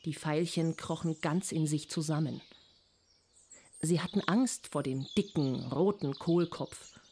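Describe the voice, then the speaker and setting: A woman's voice: low voice, a little slow, mellow, slight background noise. A woman narrator reading a scripted storybook fable for children.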